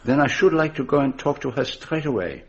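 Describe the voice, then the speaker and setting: An actor playing a courteous, methodical detective in a radio drama, with a French-speaking Belgian accent and formal, probing speech. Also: male voice, mid-range voice, animated, fairly quick, breathy edge, muffled recording. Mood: thoughtful, decisive.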